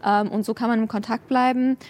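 Female interview guest with an even, flat intonation. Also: on the high side, a little fast. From Germany. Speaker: journalist and human rights activist, interview guest. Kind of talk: explanatory interview answers.

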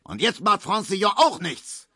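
A choleric, blustering middle-aged man rants loudly in a high, strained voice, as a man reading a radio novel puts him on. The voice is husky and the recording is close and dry. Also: sing-song, normal speed, no room sound, heavily acted. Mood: ranting. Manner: shouting, blustering. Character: choleric middle-aged male lodger, loud betting man.

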